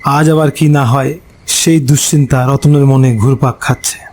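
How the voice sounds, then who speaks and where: A man narrating a scripted horror story in a dramatic, suspenseful way, pausing between short phrases. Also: medium pitch, steady tone, darkish tone, a little hiss or hum. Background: background music.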